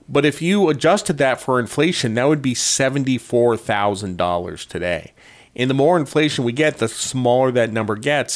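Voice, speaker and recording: A middle-aged man from Connecticut hosting a podcast, rambling through wry personal anecdotes in a casual monologue. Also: medium pitch. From Connecticut, USA. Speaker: podcast host.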